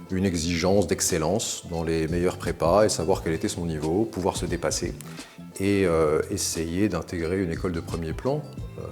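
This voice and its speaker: A man in his forties who grew up in Morocco, telling the story of his studies and career in a measured, articulate way, with frequent hesitations. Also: low voice, a little mellow. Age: 40s. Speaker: interview guest. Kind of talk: recounting his career to camera.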